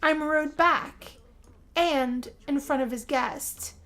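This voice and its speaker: Slow, high-pitched woman narrating dramatically. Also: fairly even intonation, a bit bright. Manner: theatrical, drawn-out delivery with pauses. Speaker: gameplay narrator. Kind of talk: first-person role-play storytelling, dramatic narration.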